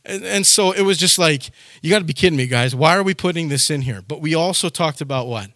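A man's voice: a little high, lively intonation, fast, sharp tone, dry recording, studio quality. A man preaching a sermon in a conversational, folksy way, with frequent repeated words and side remarks. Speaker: pastor.

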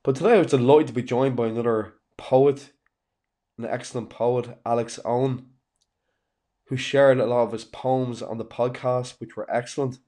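A man's voice: medium pitch, fairly even intonation, moderate pace, mellow. A man hosting a podcast, introducing his guest with enthusiastic, repeated praise and frequent "um"s. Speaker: podcast host. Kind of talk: podcast intro and interview chat.

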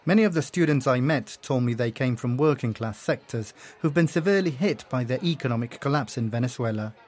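A man, a foreign correspondent, narrating a scripted radio news report in a calm, measured way. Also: medium pitch.

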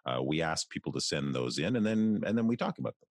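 A Canadian man who works as a voice actor, talking casually in an interview with a deep, resonant voice. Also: quick pace, dry recording, clean studio sound. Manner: relaxed, chatty, with frequent restarts. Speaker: voice actor guest.

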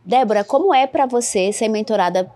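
A woman's voice: fairly high pitch, animated, brisk, dark tone. A woman hosting a panel conversation, explaining a program and asking her guests engaged follow-up questions. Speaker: host.

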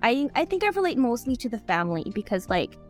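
A woman's voice: a little high, very animated, fast talker, soft background music. Chatty woman, rambling and talkative. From Canada. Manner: rambling, chatty, heavy use of "like". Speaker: guest author. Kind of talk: casual podcast chat.

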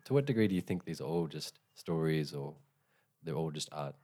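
Very low-voiced male interviewer. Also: dark tone. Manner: measured, probing questions. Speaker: interviewer host. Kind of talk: interview questions.

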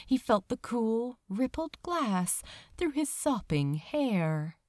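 Slow, expressive woman reading a story. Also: on the high side, dry recording, clean studio sound. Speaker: fan fiction reader. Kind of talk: expressive scripted narration of a story.

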